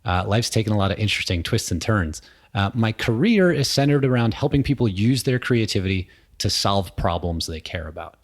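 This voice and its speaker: A man's voice: fairly low pitch, animated, brisk. A middle-aged man from New York, a guest in an interview, explaining his work in a thoughtful way, with many ums. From New York, USA. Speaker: guest, innovation consultant. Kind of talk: conversational interview. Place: indoor room with a small audience.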